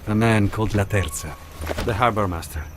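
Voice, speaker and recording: A man acting as a bitter, low-voiced partisan. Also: a little fast, noisy background, lightly acted. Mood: grudging. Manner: emphatic, contemptuous. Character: bitter, vengeful male Italian partisan. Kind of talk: video game cutscene acting.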